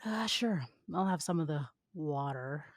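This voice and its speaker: A woman as a deadpan, weary young male ninja. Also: low voice, a little slow, no room sound, studio quality. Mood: reluctant. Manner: deadpan, grumbling, reluctant.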